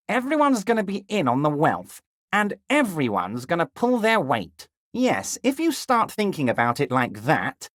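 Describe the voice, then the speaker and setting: An actor playing a heavyset, smooth-talking male union boss, honeyed and self-satisfied with a menacing charm, in game voice acting. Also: high-pitched, fairly bright tone, dry recording, studio quality, heavily acted.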